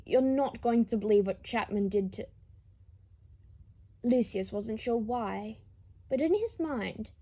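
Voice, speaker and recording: A woman's voice: fairly high pitch, mellow, poor audio. A woman narrating a story from a script, as in an audiobook. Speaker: fan fiction narrator.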